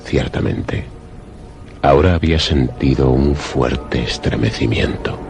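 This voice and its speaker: A man narrating a scripted suspense radio play in a measured, literary storytelling manner. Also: rumbling low, vocal fry. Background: music under the voice.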